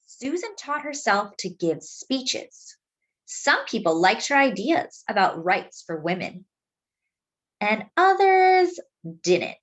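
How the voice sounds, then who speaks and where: A woman reads a picture book aloud expressively, explaining things simply and deliberately as if to young children. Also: fairly high pitch, big pitch swings, highs cut off. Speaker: children's storybook reader.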